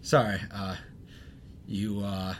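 A man's voice: on the low side, level tone, slow pace. A young man co-hosting a podcast, chatting casually, rambling and self-deprecating, often laughing. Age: young adult. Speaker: podcast co-host. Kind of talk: casual chat.

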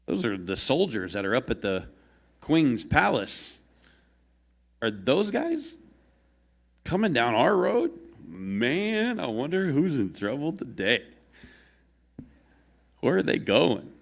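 A man's voice: big pitch swings, takes it slowly, vocal fry, pauses often, heavily acted. A man playing a grumpy, irritable man who was woken up and snaps at his visitor. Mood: curious. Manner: shouting, high-pitched and snappish.

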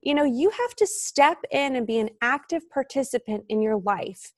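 A woman's voice: high voice, expressive, normal speed, no room sound, clean studio sound. Chatty, reflective woman guest. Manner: reflective, many "like" fillers, upbeat. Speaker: interview guest, author. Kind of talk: casual podcast conversation.

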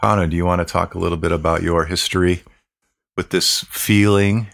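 Very deep-voiced podcast host. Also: male voice, gravelly. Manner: calm, measured, with many fillers and drawn-out phrases. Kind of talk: reflective podcast chat.

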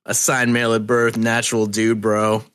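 Male podcast guest, lowish voice, dry close sound, casual, effusive, sweary. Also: monotone, fairly bright tone, smooth, no echo. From US East Coast. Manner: informal, halting restarts, freely swearing.

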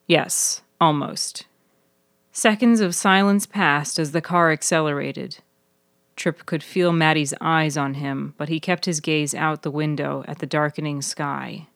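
A woman's voice: low-pitched, dry recording, clean studio sound. A woman reading scripted fiction as the narrator of a serial story. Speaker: author and narrator.

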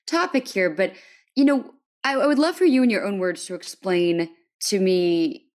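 A woman hosting an interview podcast, moving between prepared introductions and conversational questions. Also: medium pitch.

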